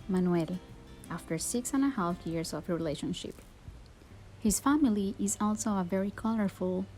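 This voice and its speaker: Earnest Puerto Rican woman, mellow voice. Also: medium pitch, rough recording. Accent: Puerto Rican Spanish. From Puerto Rico. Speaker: speaker. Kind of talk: personal story talk.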